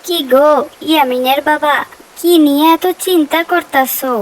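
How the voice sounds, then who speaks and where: A woman's voice: high-pitched, normal speed, close to an everyday voice. A woman voicing a poor farmer's devout, caring wife in a moral cartoon, speaking earnestly. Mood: concerned, consoling. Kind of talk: cartoon voice acting, moral tale.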